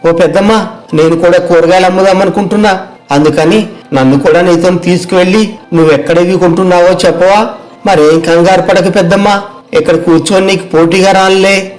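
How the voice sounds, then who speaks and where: Casting: man voicing young rural vegetable vendor, high voice, earnest, homely colloquial delivery for a moral tale. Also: steady tone, fairly quick, a little mellow, lightly acted, poor audio. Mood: eager, pleading. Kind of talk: acted moral story dialogue.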